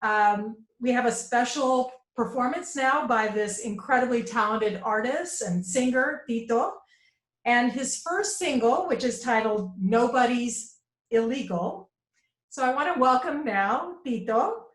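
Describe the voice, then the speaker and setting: A woman's voice: fairly high pitch, lively intonation, reverberant, rough recording. A woman moderating a live online panel, asking questions with frequent restarts and "uh". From United States, parents from Argentina. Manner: warm-up questions with halting restarts. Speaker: moderator and journalist. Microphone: video call.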